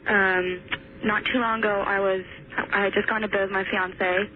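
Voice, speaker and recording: A young woman phones in to a radio show and tells a story in a casual, tentative manner. Her mid-pitched voice comes down a tinny line with faint noise. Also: flat delivery, normal speed. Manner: tentative, hesitant. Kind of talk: casual recounting of a personal experience. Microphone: telephone.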